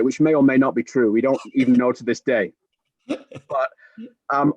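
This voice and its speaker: A man in his forties, a soccer commentator, telling an animated story with many restarts on a video-call podcast. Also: fairly high pitch, dry recording. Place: home room with bookshelves. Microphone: video call webcam. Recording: dull, dry video-call audio.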